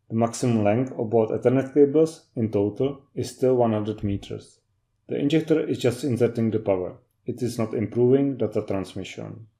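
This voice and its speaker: Man with a very dark, mellow voice. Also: medium pitch. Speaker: technical trainer. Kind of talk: scripted instructional narration.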